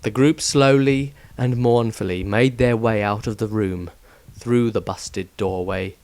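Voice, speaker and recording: A man reading a fantasy story aloud as a solemn, measured narrator in a dramatic scripted style. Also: on the low side, fairly even intonation, a little mellow. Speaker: author reading own fiction aloud.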